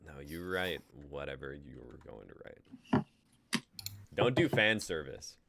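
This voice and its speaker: A man's voice: extremely low pitch, very animated, measured pace, gravelly. A young adult man hosting a group reading, chatting in an informal, sweary way, with keyboard clatter on his mic. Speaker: host moving the readings along. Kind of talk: casual chat. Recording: noisy mic, keyboard typing and clicks.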